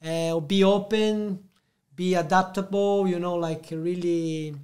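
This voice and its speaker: Brazilian man with a high voice. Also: fairly even intonation, a little mellow. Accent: Brazilian Portuguese. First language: Portuguese. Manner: halting, restarts often. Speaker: podcast host. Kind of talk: casual interview.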